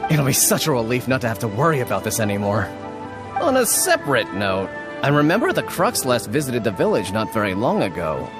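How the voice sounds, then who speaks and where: A man's voice: mid-range voice, huge pitch swings, a little fast. A man voicing an earnest village man who explains himself apologetically and stammers when flustered. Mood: grateful. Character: earnest young village man, dutiful son. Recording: game cutscene with loud background music.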